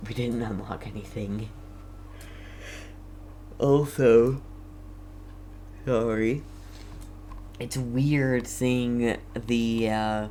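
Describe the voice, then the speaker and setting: A streamer giving casual gameplay commentary in a hesitant, low-key way, talking back to the game's characters. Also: darkish tone, a little hiss or hum. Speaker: game streamer.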